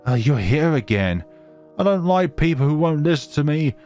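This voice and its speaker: A man's voice: fairly high pitch, very animated, moderate pace, a little mellow, faint music behind, voice pushed up. A man voicing a lonely, bitter immortal snow spirit girl in a horror visual novel, speaking accusingly.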